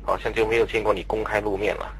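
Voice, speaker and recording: A man's voice: on the low side, fairly even intonation, fast, a bit bright, rough recording. A man conducting a conversational radio-style interview, putting long, polite questions.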